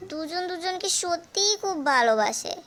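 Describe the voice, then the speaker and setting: A woman voicing a clever mother goat in a cartoon fable, glib and coaxing as she flatters and persuades. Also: very high voice, moderate pace. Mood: sly flattery. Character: clever mother goat, sly flatterer protecting her kid. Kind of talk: animated fable character acting.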